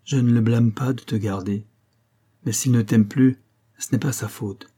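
Man voicing a frail, husky old servant woman. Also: medium pitch, fairly even intonation. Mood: reproachful. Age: older. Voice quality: faint, lifeless voice. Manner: soft, slow, resigned. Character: frail, faded old female servant, resigned, gently reproachful.

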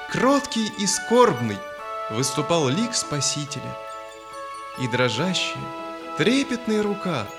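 A man narrating a children's audio fairy tale in a solemn, expressive, literary manner. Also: on the high side. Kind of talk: dramatic scripted storytelling, audio fairy tale. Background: music.

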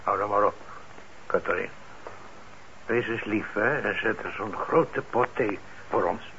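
An actor playing a kindly, gruff older shopkeeper and father in a radio drama, talking in a gravelly voice with hesitant pauses. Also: fairly low pitch, sharp tone, exaggerated voice. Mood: gruffly kind. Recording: dull, noisy old radio recording.

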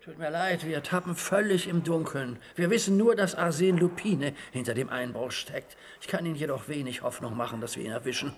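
A man as a resigned, slightly high-voiced police commissioner. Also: a little fast, few pauses, a little hiss or hum, close to an everyday voice. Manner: apologetic, matter-of-fact, resigned. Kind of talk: comic children's radio play acting.

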